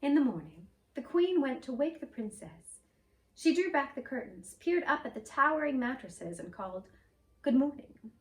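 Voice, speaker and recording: A woman in costume reading a fairy tale aloud in a gentle, expressive storytelling voice. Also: sits high, normal speed. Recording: roomy echo, mic at a distance.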